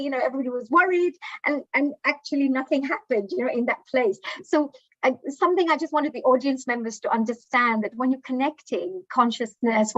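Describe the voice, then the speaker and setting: A woman's voice: on the high side, no echo, lo-fi sound. A woman hosting a spiritual livestream interview, effusive and chatty with frequent fillers. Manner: warm, effusive, with many fillers. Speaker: host.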